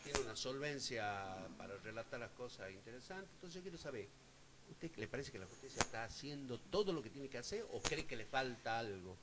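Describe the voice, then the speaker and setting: A man's voice: mid-range voice, a little fast. Rambling man on an interview panel. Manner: rambling, restarts questions, lively intonation. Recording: noisy room recording.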